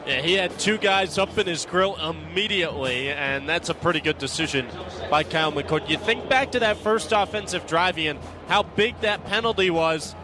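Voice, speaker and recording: A man's voice: a little high, normal speed, a crowd in the background. A man giving conversational color commentary as an analyst on a football broadcast.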